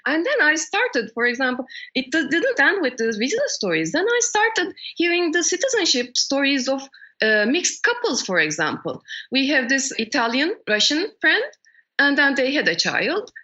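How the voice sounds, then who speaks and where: Bright, lively Turkish woman, indignant. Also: sits high, moderate pace, highs cut off. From Turkey. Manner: indignant, emphatic, restarts often. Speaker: interview guest. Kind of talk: casual interview conversation.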